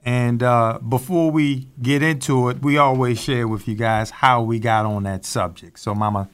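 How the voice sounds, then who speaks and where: Measured male co-host introducing a guest. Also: medium pitch, fairly even intonation, smooth. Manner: measured, formal introductions with fillers. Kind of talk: talk show hosting.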